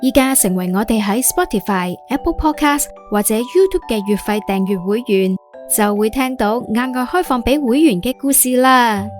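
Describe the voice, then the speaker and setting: A woman's voice: fairly high pitch, lively intonation, moderate pace, soft background music. A woman with a Hong Kong accent narrating a scripted children's story in a simple, explanatory way.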